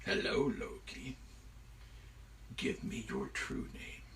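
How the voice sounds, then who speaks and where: A man telling a myth aloud, voicing a huge, menacing shapeshifting giant who speaks slowly and makes demands. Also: medium pitch, expressive, a bit bright, recorded from a distance. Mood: demanding. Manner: slow, deliberate, demanding. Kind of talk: live oral myth storytelling.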